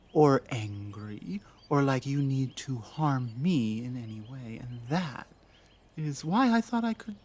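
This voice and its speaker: Give the part of a lost orc in an ASMR audio drama to a man acting it out. He is honorable and humble, with a medium-pitched voice. He speaks very slowly and haltingly, with an earnest, softly pleading manner.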